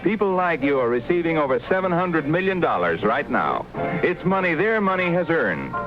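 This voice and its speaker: A man's voice: on the high side, big pitch swings, normal speed. An American man reading an upbeat, persuasive vintage radio advertisement as an announcer. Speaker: commercial announcer. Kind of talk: 1950s radio advertising read. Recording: muffled old radio recording. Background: music.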